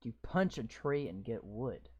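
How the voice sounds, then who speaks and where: A man reading a game's story text aloud in a slow, deliberate way, breaking off for blunt, sweary, sarcastic asides. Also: medium pitch, very animated, dull audio. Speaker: gamer reading a visual novel aloud. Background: game music.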